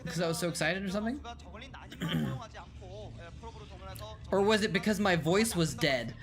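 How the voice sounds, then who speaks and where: A man's voice: sits high, sing-song, measured pace. A man chats loosely on a live stream. His voice sounds worn from hours of talking, and he keeps restarting sentences and answering viewers on the fly. Music plays steadily underneath him. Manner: rambling, self-correcting, reads and answers chat aloud. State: voice tired after hours of talking. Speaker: streamer and former game caster. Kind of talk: casual live-stream chat while watching old matches.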